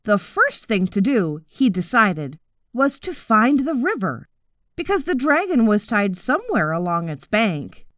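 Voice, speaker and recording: A woman narrates a children's audiobook at an unhurried pace. Her expressive delivery swings widely in pitch, and the recording is close and dry. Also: no echo. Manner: expressive, deliberate storytelling. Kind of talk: scripted children's story narration.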